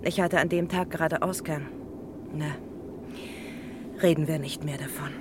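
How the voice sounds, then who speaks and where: Casting: talkative, confiding ex-housemaid, low female voice with clear vehicle rumble behind, crime radio drama. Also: steady tone, measured pace. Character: chatty, gossipy former housemaid reminiscing about a crime. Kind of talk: German crime radio play acting. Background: vehicle noise.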